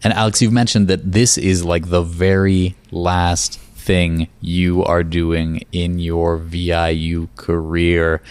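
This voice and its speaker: A man's voice: low-pitched, flat delivery, takes it slowly, hardly any pauses, a little mellow, clean tone, dry recording. A man hosting and asking questions on a radio interview show.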